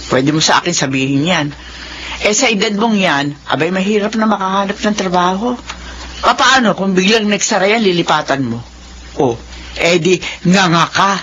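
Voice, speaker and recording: A woman's voice: mid-range voice, fairly bright tone, close to an everyday voice. Woman acting practical, worrying mother, muffled sound. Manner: scolding, down-to-earth. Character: practical, worrying middle-aged mother and nagging elder sister. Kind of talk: Filipino radio drama acting. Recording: muffled radio drama with faint noise.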